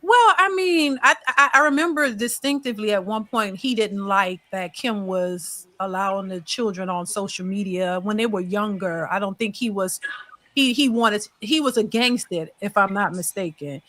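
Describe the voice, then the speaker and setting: A woman on a casual panel, hesitating and hedging as she thinks through her answer out loud. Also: mid-range voice, moderate pace. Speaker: channel host and panelist.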